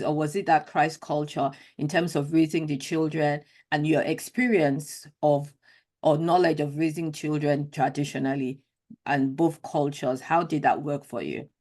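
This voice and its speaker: A woman's voice: low voice, darkish tone. A woman hosting an interview, asking long, searching multi-part questions and affirming her guest often. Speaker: podcast host. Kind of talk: conversational interview.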